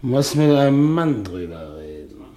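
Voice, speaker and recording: An actor playing a wary, grumbling husband in a 1950s German radio play, gruff and deliberate. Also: male voice, mid-range voice, sing-song, dark tone. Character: wary, grumbling husband uneasy about ghostly noises.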